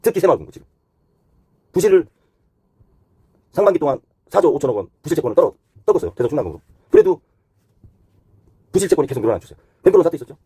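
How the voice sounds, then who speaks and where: A man delivers off-the-cuff commentary at a very rapid clip. His voice is rough and husky, and his pitch moves around in a lively way as he explains things point by point, switching between polite and blunt phrasing. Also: no room sound. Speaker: host giving economic commentary. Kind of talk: unscripted explanatory commentary on economy and finance.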